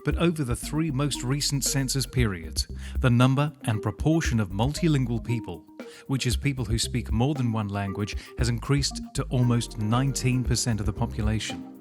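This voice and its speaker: Brief: male narrator, mid-pitched, even scripted read over a clear music bed. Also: normal speed. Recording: music underneath.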